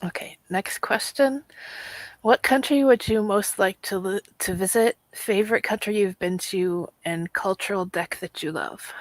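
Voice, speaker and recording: A woman's voice: medium pitch, a little slow, no room sound, poor audio. A woman whose first language is Latvian, a guest reading out questions in a friendly, casual chat. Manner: friendly, reads out questions, laughs easily.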